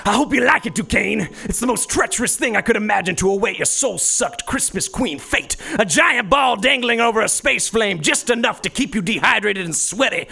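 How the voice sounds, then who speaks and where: Gravelly gloating alien villain. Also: male voice, high voice, exaggerated voice. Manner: theatrical, shouty, gloating. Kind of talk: live comedy stage reading.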